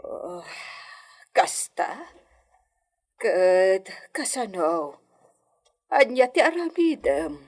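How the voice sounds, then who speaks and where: An actress playing a worried, gentle middle-aged mother in an Ilocano radio drama, with a husky voice. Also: a little high, big pitch swings, very slow, pauses often, exaggerated voice. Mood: anxious, caring.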